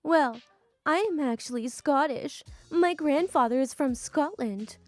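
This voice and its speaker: A woman's voice: high-pitched, a little slow, dry recording, soft background music, pitched above the performer's own voice. Woman playing a pushy American tourist lady. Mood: insistent. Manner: eager, insistent and boastful.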